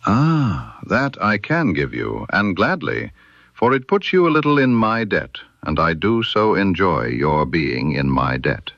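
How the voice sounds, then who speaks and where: Man voicing a cool, slow-spoken underworld woman. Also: low voice, big pitch swings, no echo, highs cut off, exaggerated voice. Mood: amused, knowing. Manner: soft, deliberate, veiled warnings. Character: cool, knowing woman underworld informant, guarded and amused.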